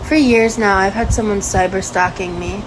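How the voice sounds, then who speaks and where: A woman's voice: mid-range voice, steady tone, normal speed, noisy background. A woman giving an earnest, direct statement to her vlog camera.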